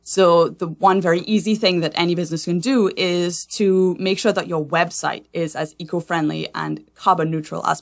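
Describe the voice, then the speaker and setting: A woman from Trinidad and Tobago speaks as a consultant being interviewed. Her voice sits a little low, and she explains things in a conversational way. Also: gravelly. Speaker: guest, sustainability consultant.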